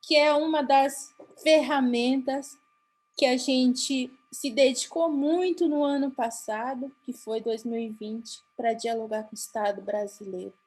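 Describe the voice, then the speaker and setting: A woman from Mato Grosso do Sul, Brazil, with a Brazilian Portuguese accent and Guarani as her first language, giving a formal conference talk. She speaks earnestly and haltingly, often restarting her phrases. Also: sits high, fairly even intonation, a little mellow, low-quality recording.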